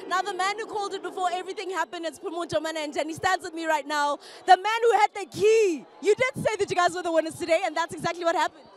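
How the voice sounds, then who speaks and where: Woman, pitchside reporter, very high voice, fast talker, upbeat, outdoor stadium. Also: soft background music. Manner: upbeat, quick-talking, mixes English and Zulu. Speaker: pitchside interviewer. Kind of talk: sports event interview.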